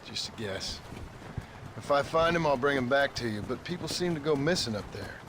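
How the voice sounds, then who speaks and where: An actor playing a laconic, dryly polite American cowboy gunslinger in a western video game. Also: male voice, mid-range voice, lively intonation, normal speed, noisy background, natural voice.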